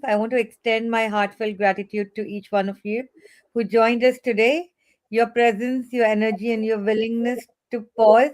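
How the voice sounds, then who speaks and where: A woman's voice: a little high, a little mellow. A woman hosting an online webinar, giving earnest closing thanks to the guests. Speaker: host. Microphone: webinar video call.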